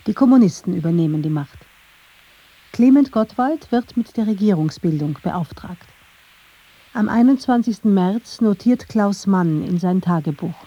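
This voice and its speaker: A woman narrating a scripted documentary in a measured, factual manner. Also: mid-range voice, expressive, dark tone, husky, highs cut off.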